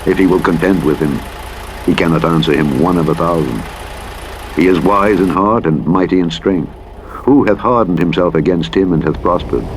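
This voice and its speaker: Deep-voiced man reading scripture over engine noise. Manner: solemn, measured, formal delivery. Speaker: audiobook Bible narrator. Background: truck simulator engine noise.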